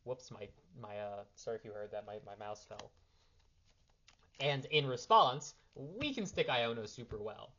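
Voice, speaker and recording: A man's voice: medium pitch, lively intonation, measured pace, bright, forceful tone, muffled recording. A young man who is a little sick, casually explaining card game lines off the cuff, rambling and correcting himself as he handles cards. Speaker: card game player giving a strategy primer. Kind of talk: impromptu casual strategy explanation.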